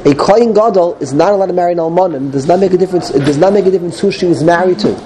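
A man's voice: high-pitched, rapid-fire, a crowd in the background. Man with a cold teaching a class. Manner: digressive, restarts phrases, mixes in Hebrew terms. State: has a cold. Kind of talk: casual Torah class.

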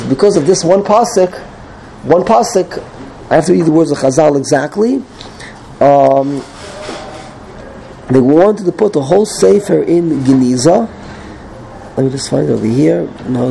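A man's voice: on the high side, gravelly, dark tone, a little hiss or hum, poor audio. Man with a cold teaching a class. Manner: digressive, restarts phrases, mixes in Hebrew terms. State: has a cold. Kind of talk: casual Torah class.

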